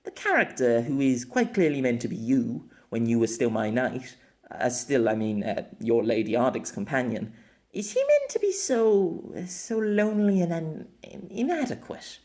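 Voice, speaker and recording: A man's voice: mid-range voice, sing-song, moderate pace, a little mellow, lightly acted. A man voicing an eager, teasing young woman who is easily flustered, in an amateur audiobook. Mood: curious, hesitant.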